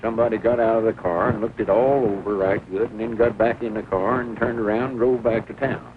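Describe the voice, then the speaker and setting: A man's voice: fairly low pitch, fairly even intonation, fairly quick, natural voice. Folksy Southern country storekeeper, played by a man, earnest amateur sleuth in a 1940s picture; thin, phone-like, lightly hissy audio. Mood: folksy, deliberate. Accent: rural Southern American. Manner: folksy, opinionated. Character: earnest rural small-town storekeeper, folksy self-styled sleuth. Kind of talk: 1940s film comedy-drama acting. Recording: tinny, noisy old 1940 film soundtrack.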